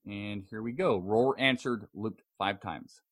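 A man's voice: lowish voice, unhurried, fairly bright tone. A man hosting a podcast in a casual, conversational way, a bit hesitant and often repeating himself as he introduces audio clips. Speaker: podcast host.

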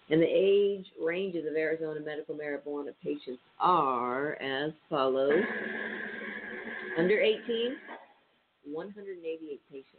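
A man with a stuffy, nasal voice hosting a casual radio show, reading statistics aloud with many ums and uhs. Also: on the high side. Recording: tinny phone-line sound with faint hiss.

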